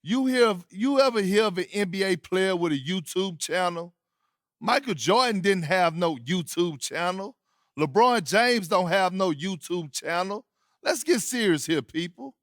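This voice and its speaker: An actor playing an opinionated, dismissive American sports show commentator, emphatic and punchy in a video game scene. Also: male voice, high voice, animated, a little slow, dry recording, clean studio sound. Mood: scornful, emphatic. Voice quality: emphatic, declarative.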